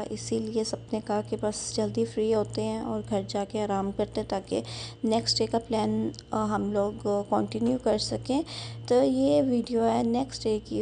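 A young woman narrating her day out in a casual vlog voice-over, chatty and unhurried with frequent fillers. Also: a little high, vocal fry, mellow, a little hiss or hum. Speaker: vlogger.

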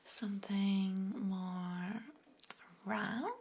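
A young woman whispers breathily and soothingly, with pauses and soft hums, close to the microphone in an ASMR roleplay as a massage therapist. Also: medium pitch, steady tone, gravelly. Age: young adult. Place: small indoor room.

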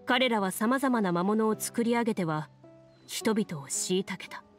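A woman voicing a composed young game master in an anime video game, speaking formally and deliberately over music. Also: medium pitch, close to an everyday voice. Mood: solemn storytelling. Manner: calm, formal, deliberate explaining. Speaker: game master narrating a tabletop game. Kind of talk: anime video game acting, storytelling narration. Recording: music under the voice.

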